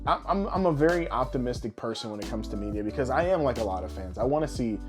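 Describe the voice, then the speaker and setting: A man's voice: medium pitch, animated, quick pace. A man co-hosting a casual podcast, talking in an emphatic, exasperated and profane way. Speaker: podcast host. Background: guitar music behind the voice.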